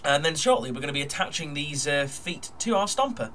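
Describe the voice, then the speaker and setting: Guy with a stuffy nose from a cold, chatty and rambling, a bit higher voice, faint hiss behind. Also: lively intonation, brisk, sharp tone. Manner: rambling, chatty, lots of ums and uhs. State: nasal and bunged up from a cold. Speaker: hobby model builder vlogger. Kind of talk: casual narration of a build. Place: at his desk at home.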